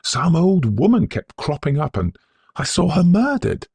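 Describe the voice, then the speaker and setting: A man voicing a hearty, impulsive young gentleman in a storybook, breezy and emphatic, with a husky edge. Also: a little high, very animated, measured pace, dry recording, voice pushed up, exaggerated voice. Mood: amused, breezy.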